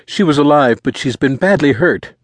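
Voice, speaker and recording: Guy doing a scared teen boy from an audiobook, high voice, frantic pleading. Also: lively intonation, moderate pace, dry recording. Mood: anxious. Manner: pleading, urgent, crying out. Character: frantic, terrified teenage boy begging for help.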